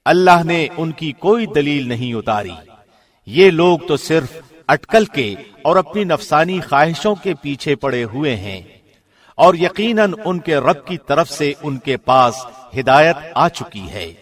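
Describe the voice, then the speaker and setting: A man reads a scripture translation in the measured, formal delivery of a radio presenter. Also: fairly high pitch, very animated. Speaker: translation reader, radio host.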